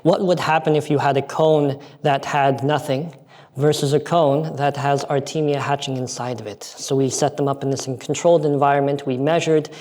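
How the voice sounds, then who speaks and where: An American man presenting research at a conference, explaining methods in an earnest, measured way with frequent filler pauses. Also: medium pitch, fairly even intonation, darkish tone. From United States. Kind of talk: scientific conference talk. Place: conference hall.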